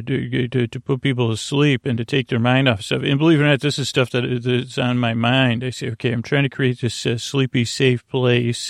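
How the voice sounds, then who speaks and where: Man, creaky gravelly voice, gentle rambling bedtime monologue, hesitant, close dry mic. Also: medium pitch, no echo. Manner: meandering, gentle, hesitant with trailing tangents. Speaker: sleep podcast host. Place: small closet padded with quilts and blankets. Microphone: close microphone, monitored on headphones.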